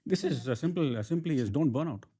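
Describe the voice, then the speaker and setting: A middle-aged man with an Indian accent and a dark, mellow voice of medium pitch. He talks conversationally as an interview guest, making his points with emphasis and often tagging them with a questioning check-in. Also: animated, fast, husky. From Bangalore, India. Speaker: interview guest, entrepreneur and author.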